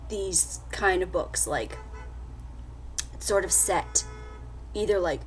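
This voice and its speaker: A woman vlogging a casual book haul, chatty and full of fillers, her voice a little scratchy after being sick. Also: mid-range voice. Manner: chatty, lots of fillers and restarts. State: slightly scratchy voice after illness. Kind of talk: casual book haul chat with read-out blurbs.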